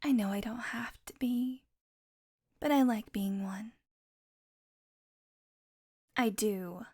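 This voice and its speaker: A woman's voice: a little high, slow pace, dark tone, slightly rough, heavily acted. A woman playing a sardonic, predatory, centuries-old female vampire in a scripted audio roleplay, with dry, teasing sarcasm and grudging fondness for the listener. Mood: menacing, relishing.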